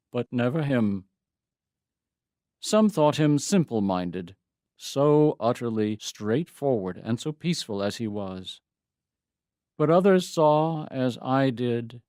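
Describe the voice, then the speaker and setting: A man performs a devoted, troubled father in a first-person poetic monologue. He speaks very slowly and deliberately, with solemn weight. The voice is soft-edged and mellow, of middling pitch, in a close, dry recording. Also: no room sound. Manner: solemn, measured, deliberate storytelling. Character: Saint Joseph, troubled, devoted stepfather recalling his lost boy. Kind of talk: dramatic poetry reading, first-person monologue.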